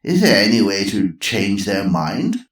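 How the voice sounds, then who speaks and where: A man doing a fussy, flustered old gentleman. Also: lowish voice, very animated, a little slow. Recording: roomy echo.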